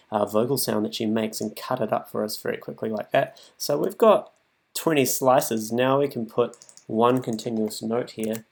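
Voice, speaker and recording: Informal man giving a music software tutorial. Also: mid-range voice, gravelly. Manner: informal, thinking aloud as he works. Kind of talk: casual screen tutorial, improvised.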